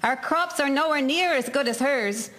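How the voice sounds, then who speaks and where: A woman's voice: high voice, moderate pace, a bit bright. A woman playing an anxious, accusing, superstitious farm wife in a historical drama.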